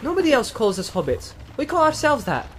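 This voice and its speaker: A young halfling fellow acted by a man in a fantasy audiobook. He speaks in a light, very animated voice, eager and inquisitive, with an open friendliness. Ambient sound plays behind him. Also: very high-pitched, a little fast, slight background noise, heavily acted. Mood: open, cheerful. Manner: bright-eyed, inquisitive, open and chatty. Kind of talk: audiobook character acting.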